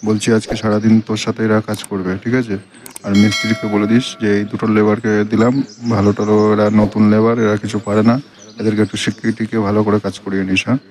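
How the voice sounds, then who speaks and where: A helpful older labour boss from a comedy cartoon, acted by a man talks quickly in an even, little-varying tone. His speech is colloquial, avuncular and businesslike. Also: on the low side, close to an everyday voice. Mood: businesslike, instructing.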